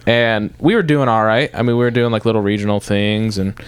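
A man's voice: lowish voice, a little fast, smooth. A young man from Michigan, a guest musician, telling stories in a casual interview and rambling through restarts and fillers. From Michigan, north of Detroit.

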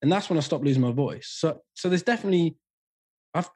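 Fast-talking husky male singer. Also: on the high side, animated, darkish tone. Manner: candid, swears freely, restarts often. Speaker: singer and podcast guest. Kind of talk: casual chat.

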